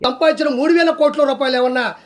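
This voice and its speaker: A man's voice: very high-pitched, quick pace. A man giving mocking political commentary in a scornful, taunting way.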